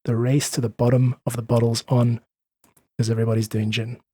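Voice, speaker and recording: A man's voice: mid-range voice, flat delivery, a little fast, mellow, slight background noise. A man talking as a musician guest on a podcast, reflective and earnest. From South Africa. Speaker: interview guest, independent musician. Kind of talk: reflective conversational podcast talk.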